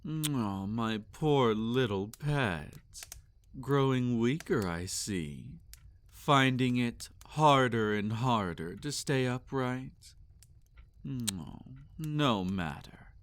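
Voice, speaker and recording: A man playing a possessive, sadistic vampire master in an intimate roleplay audio, teasing and domineering, often slipping into breathy whispers. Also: medium pitch, expressive, very slow. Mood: mocking, condescending.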